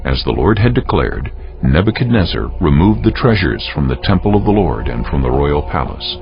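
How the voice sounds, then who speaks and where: A man narrating scripture as a dramatized reading, in a solemn, measured storytelling manner. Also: rumbling low, very animated. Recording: dull lo-fi sound under music. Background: prominent background music.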